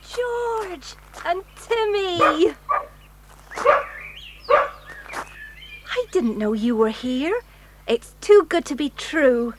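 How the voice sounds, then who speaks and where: High-voiced, excitable young girl. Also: takes it slowly, very noisy, exaggerated voice. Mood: overjoyed. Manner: eager, exclamatory, pleading. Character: excitable, eager traveller girl, a child. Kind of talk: children's audio drama acting.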